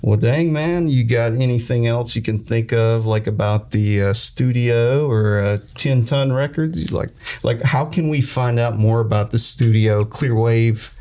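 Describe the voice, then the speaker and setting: Chatty Southern host who laughs a lot. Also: male voice, mid-range voice, a little slow, hardly any pauses, mellow. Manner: chatty and self-deprecating, laughs often. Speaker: podcast host. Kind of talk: casual interview chat. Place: recording studio.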